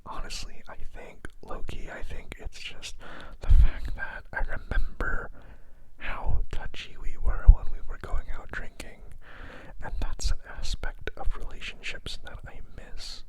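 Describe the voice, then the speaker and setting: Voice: unhurried, low-quality recording. A person whispering a rambling, hesitant personal confession, sounding sad and downcast and often repeating themselves. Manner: whispering throughout.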